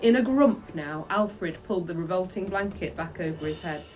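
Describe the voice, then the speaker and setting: A woman reading a children's book aloud in an expressive storytelling style. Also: fairly low pitch, normal speed, soft background music. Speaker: storybook reader.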